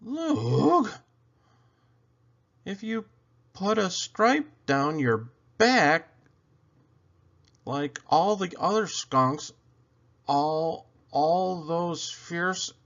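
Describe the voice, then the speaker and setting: Man putting on a high, stuffed-up squirrel. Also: animated, extremely slow, vocal fry, pauses often, fairly bright tone, lo-fi sound, exaggerated voice. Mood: pleading, worried. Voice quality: stuffed-up, congested nasal. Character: squirrel with a bad cold and stuffed-up nose. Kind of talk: read-aloud children's storybook.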